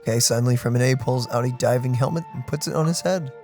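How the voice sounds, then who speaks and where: A woman's voice: a bass voice, quick pace, over music. A young woman giving casual let's play commentary, with slangy, playful short reactions. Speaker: game streamer.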